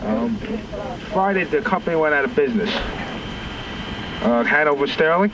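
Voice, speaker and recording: A man on a phone call, talking in a halting, evasive and repetitive way. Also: a little high, animated, a crowd in the background. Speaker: stockbroker. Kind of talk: prank phone call conversation. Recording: noisy phone call recording.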